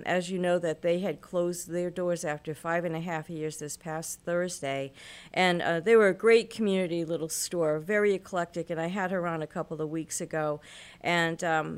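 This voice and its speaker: A woman hosting a local radio talk show, a bit hoarse from illness, chatting in a rambling way with many fillers. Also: lowish voice.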